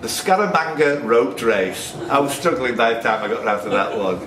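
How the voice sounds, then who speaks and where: A man's voice: medium pitch, lively intonation, moderate pace. A man hosting a quiz, reading out questions to players and dropping in jokey asides. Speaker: quiz host and question setter. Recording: noisy, echoey room recording.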